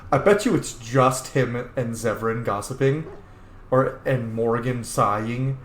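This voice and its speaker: A man's voice: mid-range voice, a little slow, echoey room. A man hosting a video game roleplay, reacting expressively and speaking dialogue choices aloud. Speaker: gaming video host, roleplayer.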